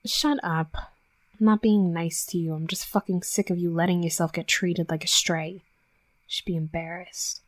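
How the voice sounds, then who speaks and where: A woman's voice: fairly low pitch, fairly even intonation, moderate pace, dry recording, natural voice. A woman voicing a tough young female werewolf bully in an intimate roleplay audio drama, brash and sweary but grudgingly tender. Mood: gruff, scolding.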